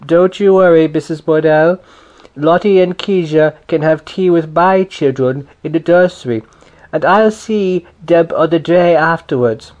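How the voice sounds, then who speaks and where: A man's voice: on the high side, unhurried, darkish tone, lo-fi sound, pitched above the performer's own voice, natural voice. A man voicing a fat, wheezing, motherly older woman who soothes the children. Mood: soothing.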